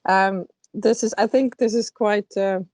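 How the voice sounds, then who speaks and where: A woman's voice: mid-range voice, fairly even intonation, a little slow, darkish tone, dry recording. A woman interviewing musicians, hesitant and searching for words, with many uhs and restarts, speaking English as a second language. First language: Estonian. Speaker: interviewer host. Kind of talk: interview conversation.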